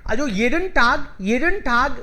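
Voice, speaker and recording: A man hosting an online conversation club over a video call, talking in simple, halting sentences with fillers and welcoming each participant. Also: very high voice, lively intonation, pushed and bright. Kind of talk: casual group conversation in learner German.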